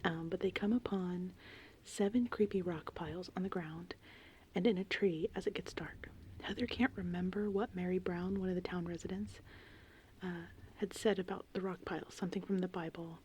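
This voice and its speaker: A woman host speaks in a hushed, breathy ASMR whisper with a mellow, dark tone. She rambles casually, as if retelling a story in conversation. Gentle rain and thunder sit faintly behind her voice. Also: fairly low pitch, fairly even intonation, normal speed. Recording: faint background noise, rain and thunder ambience. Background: gentle rain and thunderstorm ambience.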